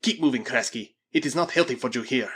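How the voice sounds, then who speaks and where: A man's voice: mid-range voice, fast, a bit bright, breathy edge. A man voicing a surly, boastful, half-mad Spanish villain in an adventure storybook, commanding and menacing. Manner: menacing, commanding, given to ranting.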